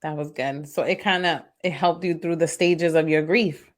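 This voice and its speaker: A woman's voice: deep voice, steady tone, moderate pace. Encouraging woman podcast host. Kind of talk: casual interview chat.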